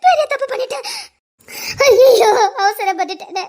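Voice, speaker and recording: Woman doing a very high, shrill young woman bawling her eyes out, keeps repeating herself. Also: animated, moderate pace. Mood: panicked sobbing. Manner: wailing, repeating herself between sobs. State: crying. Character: remorseful young woman, panicked, sobbing.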